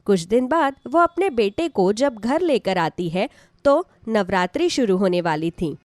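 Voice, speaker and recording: A woman's voice: mid-range voice, animated, a little slow, a little mellow, dry recording. A woman narrating a scripted devotional story as a storyteller.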